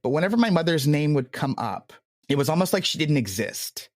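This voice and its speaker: A man's voice: fairly high pitch, big pitch swings, brisk, no room sound, clean studio sound. A man speaking as a podcast guest in a reflective interview, telling anecdotes with hedges and quoted asides. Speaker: podcast guest, audio drama creator.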